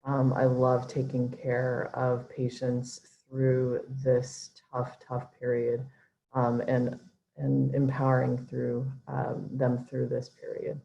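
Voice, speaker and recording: A woman's voice: a bass voice, completely flat delivery, slow, vocal fry, dark tone. A woman from Alabama introducing herself as a doctor, friendly and upbeat, with many ums. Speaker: gynecologic oncologist. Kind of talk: self-introduction on video call. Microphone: video call. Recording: dull lo-fi video call audio.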